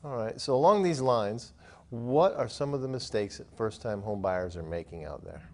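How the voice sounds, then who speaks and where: A man in his fifties with an American accent hosts a business interview in a studio. His voice sits at an average male pitch, and he talks conversationally with his guest. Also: lively intonation, normal speed, a little mellow. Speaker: host, interviewer.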